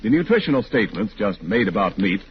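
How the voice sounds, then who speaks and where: Lively 1940s male radio announcer. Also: on the low side, fast, a little hiss or hum. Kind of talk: 1940s sponsor announcement, scripted. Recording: muffled old radio recording, faint music.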